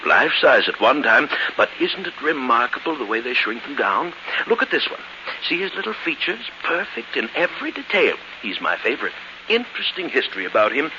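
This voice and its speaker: An actor playing an effusive, fussy elderly invalid uncle who stammers and repeats himself, in 1940s radio drama style. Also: male voice, medium pitch, big pitch swings, a little fast, lightly acted. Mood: genial, eerie relish. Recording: hissy, muffled 1940s radio broadcast.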